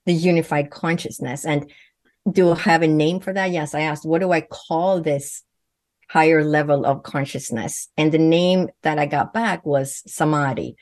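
A woman speaks in a low, deep register, earnest and fluent, though she often restarts her phrases. The sound is close and dry, with no room echo. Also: moderate pace, a little mellow. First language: Swedish. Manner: earnest, fluent with frequent self-corrections. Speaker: guest, medium and physician. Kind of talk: conversational interview answers.

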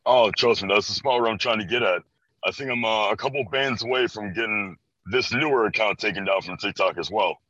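A young American man in his early twenties, from Chicago, talks casually as a guest. His voice is on the low side but bright and forceful. The recording is close and dry, a bit dull and lo-fi. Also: a little fast, no echo. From Chicago, USA. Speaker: interview guest. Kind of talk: casual chat. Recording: dry, slightly dull band-limited audio.

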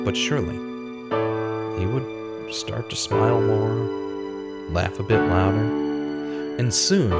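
Earnest, guilt-ridden man acting a journalist with expressive delivery. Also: on the low side. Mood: somber, reflective. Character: earnest guilt-ridden male investigative journalist in his thirties. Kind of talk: audio drama acting with narration.

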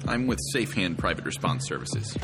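An actor playing an officious, slyly menacing private security officer in a science fiction audio drama. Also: male voice, low-pitched, sing-song, normal speed, over loud music, poor audio. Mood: falsely polite. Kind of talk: sci-fi audio drama acting.

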